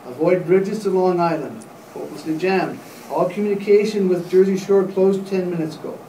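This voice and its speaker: A man's voice: high-pitched, moderate pace, warm tone, slight background noise. A man acting as a grave radio announcer in a live radio play, reporting solemnly and dramatically as an eyewitness. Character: grave radio announcer witnessing an invasion from a rooftop. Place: hotel meeting room, panel table. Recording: distant camera mic in echoey room.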